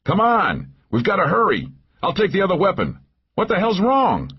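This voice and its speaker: A man voicing a frantic action hero who urgently shouts orders under fire in an audiobook thriller. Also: high voice, huge pitch swings, moderate pace, slightly rough, highs cut off, voice pushed up, heavily acted.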